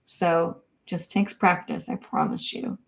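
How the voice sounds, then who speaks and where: A young woman from Kansas hosting a casual livestream, reading viewer comments and answering in a soft, hesitant way with many ums and likes. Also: lowish voice, big pitch swings, slow. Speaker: livestream host.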